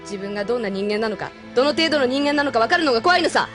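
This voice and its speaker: An actress playing a tough, frank young female mech pilot and former delinquent with rough, blunt speech in an audio drama. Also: on the high side, expressive, rapid-fire, lightly acted. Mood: challenging. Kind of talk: Japanese audio drama acting. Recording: music behind the voice.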